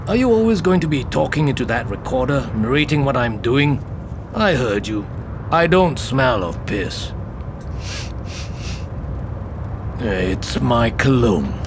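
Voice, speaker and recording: An actor playing a gruff, jaded, hard-drinking senior detective in an audio drama, blunt and terse. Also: male voice, mid-range voice, lively intonation, measured pace, background noise. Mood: irritated. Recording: music behind the voice.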